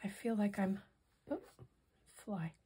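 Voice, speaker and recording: A hoarse woman demonstrating a craft project in casual tutorial style, softly thinking aloud and drifting into whispered asides. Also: medium pitch, slow. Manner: soft-spoken, musing, drifts into whispered asides.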